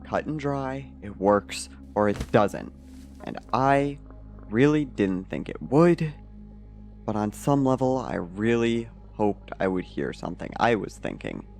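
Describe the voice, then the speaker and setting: A troubled, pessimistic man played by a male actor delivers an audio fiction confession. His voice sits low and moves very slowly, halting and hesitating, with soft music behind it. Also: sing-song, gravelly, full character voice. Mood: anxious, hopeful.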